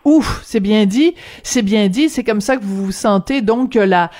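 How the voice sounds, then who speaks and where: A woman's voice: mid-range voice, unhurried, a little mellow. A woman hosting a conversational interview, putting questions and summing up for her guest. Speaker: interviewer, radio host.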